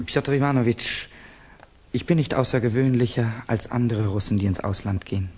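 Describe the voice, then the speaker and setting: An actor playing a guarded, brooding Russian exile in a German radio play, speaking in a terse, grave, defensive way. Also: male voice, medium pitch, normal speed, darkish tone, husky, natural voice. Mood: guarded, bitter.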